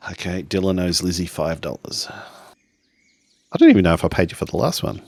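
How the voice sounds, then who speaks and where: A man's voice: sits low, lively intonation, normal speed, vocal fry, a little mellow, no echo. A man talking casually and improvising in character as a player in a tabletop roleplaying game.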